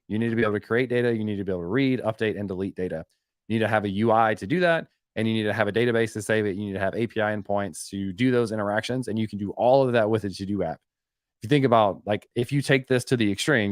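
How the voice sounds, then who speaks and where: A man with a fairly low voice hosts a live Q&A stream and reads questions from the chat. He talks loosely and conversationally, often restarting sentences and filling gaps with "um" and "like." The sound is dry and close to the mic. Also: dry recording. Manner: conversational, many fillers and self-corrections. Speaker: host of a live web development Q&A stream.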